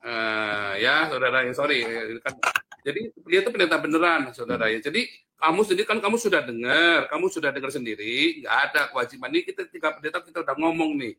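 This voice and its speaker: A man's voice: on the high side, expressive, fast talker, pushed and bright. A man arguing as a pastor in a heated religious debate, insistent, blunt and informal. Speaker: pastor on a panel.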